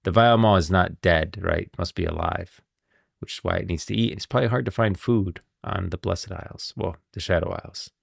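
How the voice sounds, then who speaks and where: A male streamer with a very low, creaky voice talks casually about game lore. He muses and thinks aloud as he goes. The recording is close and dry. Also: brisk, no room sound. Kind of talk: casual live stream commentary.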